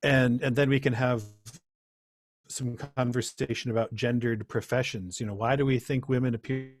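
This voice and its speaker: A man's voice: mid-range voice, steady tone, moderate pace. A middle-aged man giving an informal workshop presentation in an earnest, reflective manner. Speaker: presenter, teacher.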